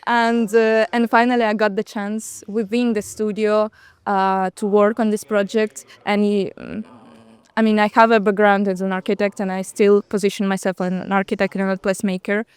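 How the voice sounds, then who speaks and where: A woman's voice: mid-range voice, little pitch movement, normal speed, smooth. A woman from Russia, whose first language is Russian, answering interview questions as a guest, speaking hesitantly with many fillers and restarts. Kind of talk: casual interview conversation. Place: outdoors on a bench at a city event.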